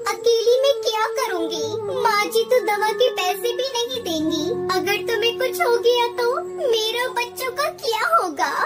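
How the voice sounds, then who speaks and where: Very high-pitched caring bird wife played by a woman. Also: expressive, a little slow, over loud music. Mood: worried. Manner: pleading, fussing. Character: caring, worried female little-bird wife. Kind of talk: Hindi moral-story cartoon acting.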